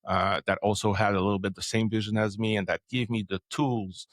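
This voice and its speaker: A man's voice: on the low side, steady tone, fairly quick, no room sound, clean studio sound. A man in his forties from Quebec, a native French speaker with a Quebec French accent, telling a long career story as an interview guest with many fillers and restarts. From Quebec, Canada. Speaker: podcast guest, developer advocate. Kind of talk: casual interview answer.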